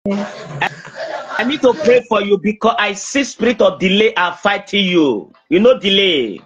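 A young man speaking as a prophet praying over someone on a video call, insistent, halting and repeating himself. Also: high voice, animated, a bit bright, lo-fi sound. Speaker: preacher, self-styled prophet. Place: small indoor room. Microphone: phone at arm's length on video call.

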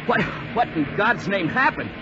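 A man in a 1940s radio drama playing an eager, wisecracking young bomber crewman, excitable and slangy. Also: high voice, moderate pace, loud music behind the voice. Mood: nervous, strained. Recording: noisy muffled 1940s radio broadcast recording. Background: loud aircraft engine noise.

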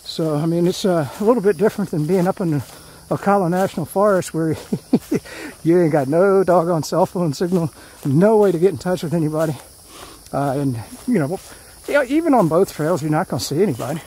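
A middle-aged man filming himself on a woodland hike, his voice a touch high but mellow and soft-edged. He talks in a loose, folksy ramble, full of fillers and false starts. Faint insect buzz hums in the background outdoors. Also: animated, brisk, a little hiss or hum, rough recording. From Florida. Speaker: hiking vlogger. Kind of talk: casual hiking commentary. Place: outdoors on a forest trail.

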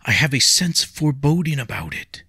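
A man's voice: mid-range voice, very animated, brisk, sharp tone, slightly rough, dry recording, studio quality, pitched above the performer's own voice, full character voice. A man voicing an anxious young noblewoman who pleads urgently and desperately. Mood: pleading.

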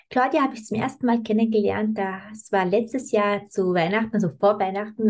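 Young woman, host, upbeat, steady questions, home office, camera mic. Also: mid-range voice. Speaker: host, mentor. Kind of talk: hosting an interview.

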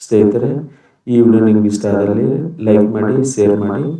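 Mellow-voiced man. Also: fairly low pitch, fairly even intonation, fairly quick, low-quality recording. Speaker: tutorial presenter. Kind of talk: informal step-by-step demonstration.

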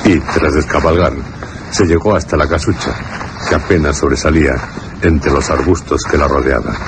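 A man's voice: a bass voice, moderate pace, very noisy. A man narrating a suspense and horror radio drama in a scripted, dramatic style. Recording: radio drama with loud music behind. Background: suspense music.

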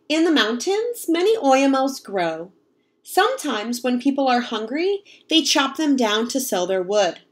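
A young woman reading a picture book aloud to children in an expressive, explanatory way. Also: fairly high pitch. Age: young adult. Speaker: read-aloud storyteller, teacher. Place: home kitchen, indoors.

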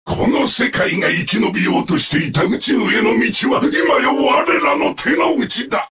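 An actor playing a sadistic, mocking combat-robot villain in a Japanese video game, with sneering, theatrical, drawn-out taunts. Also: male voice, very high-pitched, big pitch swings, fairly bright tone, raised voice, distant mic, full character voice.

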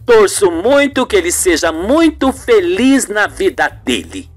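A woman's voice: mid-range voice, very animated, measured pace, faint music behind. A woman playing a haughty, falsely sweet older mother-in-law in an amateur radio soap, gushing and affected. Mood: smug, sweet.

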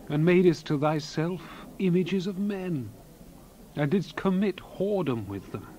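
An actor playing a solemn prophet who delivers God's reproach in a dramatized scripture reading, with grave declamatory delivery. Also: male voice, fairly high pitch, darkish tone, slight background noise, lightly acted, low-quality recording. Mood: rising anger. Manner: grave, declamatory, archaic diction.

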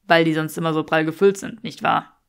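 A woman's voice: low voice, steady tone, brisk, clean tone. A woman voicing a smug, teasing young aristocratic man with a drawling, mock-serious manner in an audiobook.

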